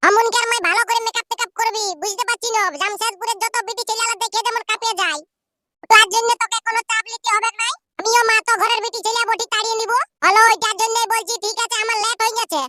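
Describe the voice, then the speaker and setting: A man's voice: very high voice, little pitch movement, fast, background noise. A man voicing a bustling, boastful village woman in a comic cartoon, with a Purulia accent and a bossy, repetitive way of chattering. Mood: excited, bossy.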